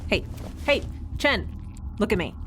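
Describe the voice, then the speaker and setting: An actress playing a flustered but caring woman improvising as medic, halting yet taking charge, in an audio drama. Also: mid-range voice, very animated, background noise. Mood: tense, focused. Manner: hesitant, halting, takes charge. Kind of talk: naturalistic audio drama acting.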